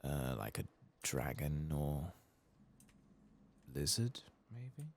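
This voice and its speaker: A soft-spoken man murmuring unhurried ASMR game commentary, often slipping into a whisper as he muses to himself. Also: very deep voice, very animated, a little hiss or hum. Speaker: gaming streamer, ASMR channel host.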